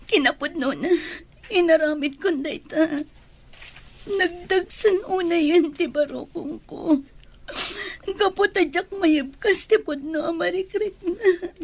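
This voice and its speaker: A woman's voice: very high voice, slow pace, full character voice. A woman playing a troubled, pleading young woman in a radio drama, with an Ilocano accent. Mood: guilty, tearful. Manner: tearful, pleading. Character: troubled young woman hiding a painful love secret.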